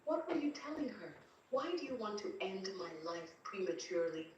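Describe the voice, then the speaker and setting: In a heavily echoing, slightly noisy recording, a woman acts out a possessive, abusive husband from a dark story. The lines are intense and menacing, heard at some distance from the mic. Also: mid-range voice, big pitch swings, moderate pace, lo-fi sound, lightly acted. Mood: angry. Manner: hissing, whispered menace. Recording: distant, very echoey, faint noise.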